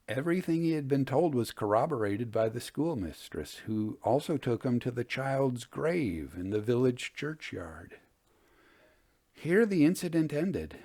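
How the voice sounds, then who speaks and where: A man hosting and reading old ghost tales aloud in a measured, deliberate way. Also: mid-range voice, dark tone. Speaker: host and reader of a ghost story podcast.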